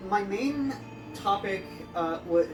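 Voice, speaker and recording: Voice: medium pitch, expressive, slow, over music. A podcast co-host introducing a topic in a hesitant way, full of "uh"s and repeated words. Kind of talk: casual podcast chat. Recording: echoey room, far from the mic.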